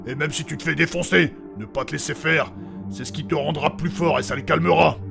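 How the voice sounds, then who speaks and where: Man acting a brash fantasy tough guy, heavy rasping fry, crude, scornful of weakness, music underneath. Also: on the high side, fairly even intonation, a little fast. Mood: blunt, preachy. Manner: blunt, crude, combative. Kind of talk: comic fantasy audio drama.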